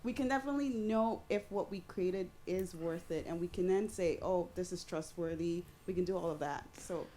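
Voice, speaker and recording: A woman with a loud voice asking a panel a long, earnest question from the audience. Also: on the low side, moderate pace, few pauses, slight background noise, rough recording.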